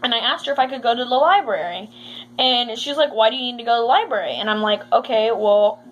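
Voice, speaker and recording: A woman's voice: fairly high pitch, fast talker, highs cut off. A young American woman chatting casually as she tells stories, acting out the dialogue in a giggly way. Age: teen or young adult. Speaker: vlogger telling school stories. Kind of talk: casual storytime chat. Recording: faint background noise.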